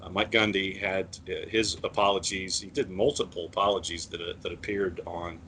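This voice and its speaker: A man with a lower, bright, forceful voice talks through sports news as a podcast co-host. He speaks in a measured way, piling up fillers and restarting his thoughts. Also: fairly even intonation, slight background noise. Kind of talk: casual sports talk.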